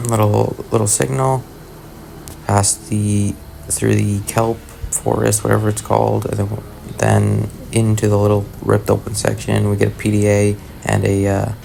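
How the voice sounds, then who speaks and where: A man with a raspy, worn voice from long talking, giving low-key, hesitant voice-over commentary on gameplay in a casual way. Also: completely flat delivery, unhurried, a little mellow, a little hiss or hum, rough recording. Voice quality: raspy, gravelly. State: tired voice after long talking, throat-clearing. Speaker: gaming video host. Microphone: voice-over recorded separately from the footage.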